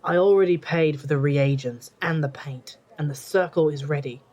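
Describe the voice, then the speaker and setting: An actor playing an arrogant, sarcastic young occultist in an audio drama, speaking with overconfidence. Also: male voice, on the high side, moderate pace, darkish tone, close to an everyday voice. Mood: smug, dismissive. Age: young adult.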